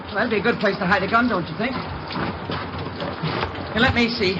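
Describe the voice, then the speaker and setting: An actor playing a desperate, evasive murder suspect in a 1950s radio crime drama, insistent and repetitive. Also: male voice, high voice, brisk, natural voice. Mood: anxious searching. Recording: muffled old radio recording, loud rain-like noise.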